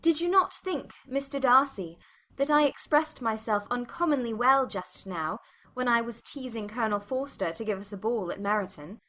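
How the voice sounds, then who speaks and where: An actress playing a spirited, self-possessed young gentlewoman in a dramatized audiobook, arch and articulate. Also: on the high side, moderate pace. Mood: playful.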